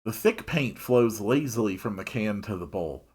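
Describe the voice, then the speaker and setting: A man's voice: lowish voice, animated, moderate pace, slightly rough. A man reading a story aloud expressively, dropping in wry, casual asides of his own. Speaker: host reading a visual novel aloud.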